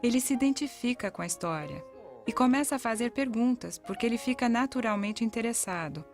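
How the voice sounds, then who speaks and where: A woman's voice: a little high, expressive, brisk, over music. A woman with a Brazilian accent reading a scripted educational voice-over. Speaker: narrator of an educational parenting video. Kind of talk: scripted voice-over narration, reading testimonials aloud.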